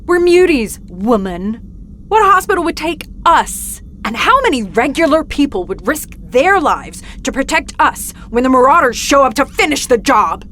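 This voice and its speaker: Embittered mutant leader, blunt, defiant, lively intonation, slightly high, audio drama. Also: female voice, moderate pace, slight background noise. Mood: angry bitterness. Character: bitter, defiant female leader of underground mutant outcasts. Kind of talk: superhero audio drama acting.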